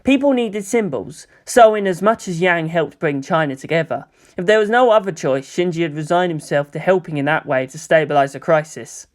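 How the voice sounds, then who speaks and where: A man's voice: on the high side, fairly quick, few pauses. Man narrating a scripted story.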